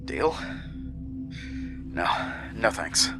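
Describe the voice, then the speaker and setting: An actor playing a frightened, confused male prisoner in a horror audio drama, halting and stammering as he speaks. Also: fairly low pitch, very animated, vocal fry, music in the background, exaggerated voice. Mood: wary, defiant.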